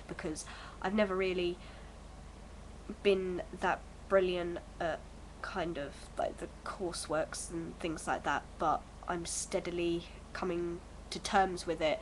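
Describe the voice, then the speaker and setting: A woman's voice: lowish voice, slight background noise, low-quality recording. A young woman in her early twenties, bunged up with a cold, chatting at home to her vlog camera in a rambling, hesitant way full of fillers. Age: early 20s. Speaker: vlogger. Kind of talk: casual chat to camera.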